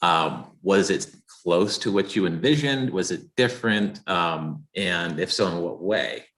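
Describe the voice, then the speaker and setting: A man's voice: deep voice, animated, a little slow, a bit bright. A man hosting an interview, asking loose, rambling questions with fillers. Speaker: interview host.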